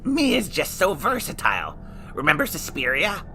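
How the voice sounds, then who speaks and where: Guy doing a snarky burnt-face horror host, gravelly, pitch all over the place, music under it. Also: wildly swooping pitch, normal speed, sharp tone, full character voice. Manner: jokey, theatrical, sarcastic. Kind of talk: scripted comedic review banter.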